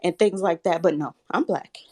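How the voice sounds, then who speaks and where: Thirties woman from the american south, a bit shaky, soft then firm, talking in an online chat room. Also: fairly low pitch, moderate pace, breathy edge. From Southern United States. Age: 30s. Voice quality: slightly shaky voice. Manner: soft-spoken, earnest, grows firm and insistent when challenged. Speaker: caller. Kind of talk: live audio chat room.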